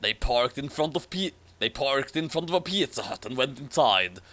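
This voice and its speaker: Someone reading fanfic out loud, really animated pitch, chatty asides, redoes flubbed lines. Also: normal speed. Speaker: fan fiction reader and commentator. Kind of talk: comedic read-aloud with casual commentary.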